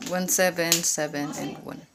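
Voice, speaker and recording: Over a clearly noisy amateur recording, a vlogger with a Visayan Filipino accent talks in a middling pitch. The delivery is casual and informal, like explaining tips to viewers. Key words get repeated, with polite asides to the audience. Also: fairly even intonation, a little slow. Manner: repeats key words and numbers, addresses viewers as ma'am and sir. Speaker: vlogger giving number tips.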